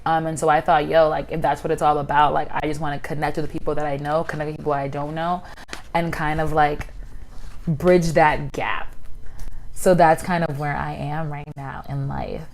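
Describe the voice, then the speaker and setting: Woman, 20s, podcast host; animated, exaggerating storyteller, slightly low pitch. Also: moderate pace, slight background noise. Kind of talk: casual chat.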